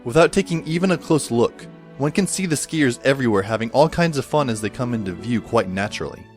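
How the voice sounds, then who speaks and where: Man acting a lively, wry schoolboy narrator. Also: mid-range voice, brisk, faint music behind, lightly acted. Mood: dazed, wry. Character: bewildered, wry teenage schoolboy narrating his adventure. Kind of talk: scripted first-person audio drama narration.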